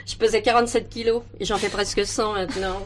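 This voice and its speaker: A woman's voice: mid-range voice, fairly even intonation, quick pace, a little hiss or hum, close to an everyday voice. An actress playing a garrulous, motherly, earthy older ex-dancer who likes to boast, in a stage drama. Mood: boastful, nostalgic. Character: heavyset, chatty ex-dancer turned dresser, brash, motherly older woman. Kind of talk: French television stage comedy-drama acting.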